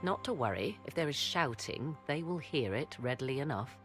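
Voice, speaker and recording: A woman's voice: rumbling low, lively intonation, soft background music. A woman playing a proud, learned witch in a video game, speaking in formal, archaic, mysterious phrases. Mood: composed. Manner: archaic, measured, theatrical phrasing. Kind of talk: video game cutscene acting.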